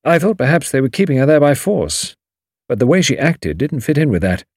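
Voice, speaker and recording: A man's voice: mid-range voice, sing-song, brisk, husky, no echo, studio quality, voice pushed up. A man voicing a modest, eager young Englishman in an audiobook, frank and respectful in his manner. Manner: deferential, frank. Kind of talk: audiobook character dialogue.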